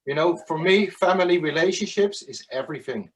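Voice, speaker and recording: Male podcast host; encouraging and appreciative, halting delivery. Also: a little high. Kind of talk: casual interview conversation.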